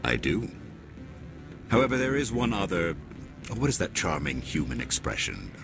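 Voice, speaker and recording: A man playing an old, courteous and wry alien office manager in a video game, with measured, formal speech. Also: sits low, sing-song. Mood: sly. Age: older. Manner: measured, formal, sly politeness. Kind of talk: video game dialogue acting. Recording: music behind the voice.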